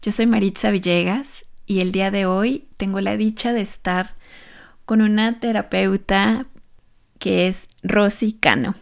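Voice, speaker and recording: A woman hosting a podcast, asking a guest questions in a gently inquisitive way. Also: mid-range voice, steady tone, unhurried, gravelly. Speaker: podcast host. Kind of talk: interview hosting.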